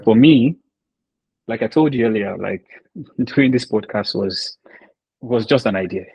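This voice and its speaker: A man's voice: lowish voice, a little slow, gravelly, breathy edge, dry recording, dull audio. A man hosting a podcast, talking reflectively with lots of fillers and hesitations. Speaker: podcast host. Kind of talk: casual podcast interview.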